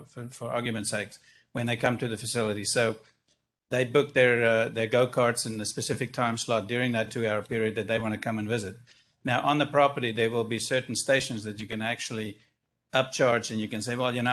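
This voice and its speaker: South African business owner pitching his project at a council mic, expansive and upbeat, faint noise behind. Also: fairly bright tone. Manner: enthusiastic, expansive, self-deprecating. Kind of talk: public comment at a council meeting. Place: council chamber. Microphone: speaking at a stand-up microphone.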